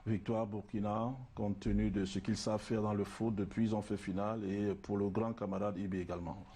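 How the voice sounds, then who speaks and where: A man from Cameroon giving football predictions in relaxed studio talk, hesitant and full of fillers and asides. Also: fairly low pitch, fairly quick, breathy edge. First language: a Cameroonian language. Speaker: football pundit.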